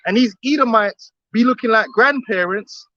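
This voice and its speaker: A man's voice: very high voice, normal speed, bright, forceful tone, no echo, highs cut off. A man preaching outdoors on the street, emphatic and repetitive, often laughing between his points.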